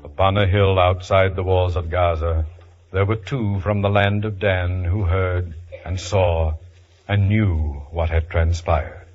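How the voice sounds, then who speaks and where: An actor playing a solemn storyteller, narrating a biblical epic in a grave, declamatory 1950s radio style. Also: male voice, deep voice, flat delivery, unhurried. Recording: muffled 1950s radio, loud music behind.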